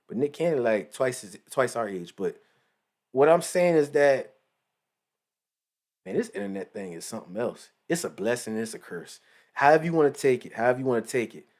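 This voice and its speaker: A man's voice: on the high side, a little mellow. A man in his late twenties giving rambling, slangy casual commentary with lots of asides. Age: 20s. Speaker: commentary channel host.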